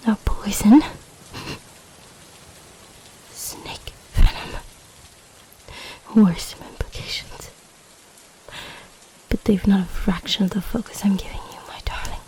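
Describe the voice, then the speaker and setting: Woman voicing a mellow, regal snake empress. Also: mid-range voice, takes it slowly, pauses often, in a whisper, close to an everyday voice, rough recording. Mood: worried, tender. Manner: formal, stately, dramatic phrasing. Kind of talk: roleplay audio drama.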